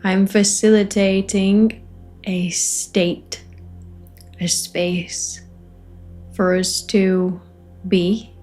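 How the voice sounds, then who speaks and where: A woman facilitating a guided meditation, offering gentle invitations in short phrases with long pauses between them. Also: mid-range voice, little pitch movement, faint music behind. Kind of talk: guided meditation, spoken softly to listeners.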